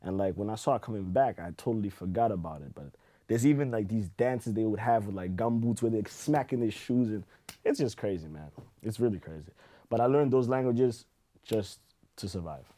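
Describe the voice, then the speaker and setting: A man with a very low voice and a warm, dark tone. He chats casually as a podcast guest, telling stories in an easygoing, rambling way full of "you know" and "like". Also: animated, brisk. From Zimbabwe. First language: Shona. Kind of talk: casual chat.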